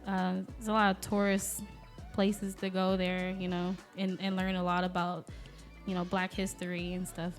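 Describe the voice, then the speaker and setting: A woman's voice: on the low side, completely flat delivery, moderate pace, soft background music. A native Vietnamese-speaking woman from Alabama with a Southern US accent, chatting informally as a podcast guest. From Alabama, USA. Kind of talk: casual interview chat.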